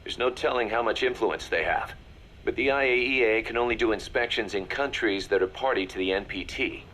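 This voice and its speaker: Male actor as military briefing officer, fairly low voice, serious, measured, businesslike, video game dialogue, faint noise. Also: a bit bright, lightly acted, low-quality recording. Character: serious male military second-in-command briefing his boss.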